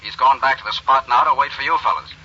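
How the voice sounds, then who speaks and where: An actor playing a matter-of-fact state police officer reporting over a telephone in a 1940s radio crime drama. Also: male voice, medium pitch, quick pace, sharp tone, slightly rough. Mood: matter-of-fact, grim. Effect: telephone filter. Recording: thin phone line, old radio recording.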